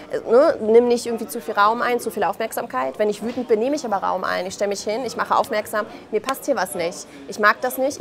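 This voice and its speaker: A woman in her thirties from Belarus speaking as an interview guest in an impassioned, colloquial way, often acting out quoted remarks. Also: on the high side. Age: 30s. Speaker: interview guest, author and journalist. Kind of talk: impassioned spoken interview answers.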